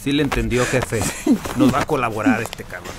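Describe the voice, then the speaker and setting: A man's voice: medium pitch, a little fast, natural voice. An actor playing a sadistic Mexican henchman in an audio drama, taunting with crude slang. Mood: pressuring. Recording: noisy background with clicks.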